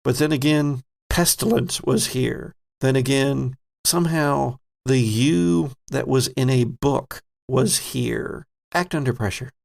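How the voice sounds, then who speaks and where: A man narrating a tabletop horror game as game master, with deliberate, dramatic storytelling. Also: mid-range voice, gravelly, a little mellow. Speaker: game master, podcast host.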